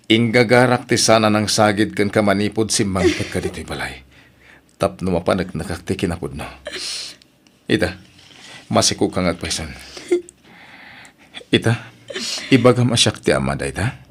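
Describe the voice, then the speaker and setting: Hurt angry husband, ilocano radio drama, deepish voice that swings all over. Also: male voice, animated, normal speed, pauses often, a little hiss or hum. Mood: furious.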